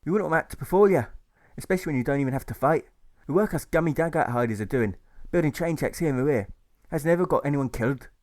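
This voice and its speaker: A sympathetic young Irish soldier talks fast and heartily, full of lively swings, as a man acts him out. His voice sits well above the narrator's own and rings out louder, earnest and talkative. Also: a little high, voice pushed up, natural voice.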